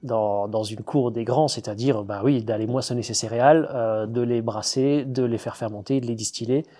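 A man's voice: mid-range voice, steady tone, moderate pace, mellow. Hesitant man talking about his distillery. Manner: hesitant, many fillers and restarts. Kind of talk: conversational interview.

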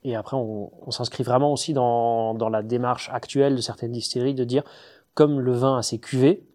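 A man's voice: mid-range voice, little pitch movement, fairly quick, dark tone. A man, a distiller, answering interview questions with lots of hesitations and restarts; German is his first language. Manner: hesitant, many fillers and restarts. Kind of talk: conversational interview.

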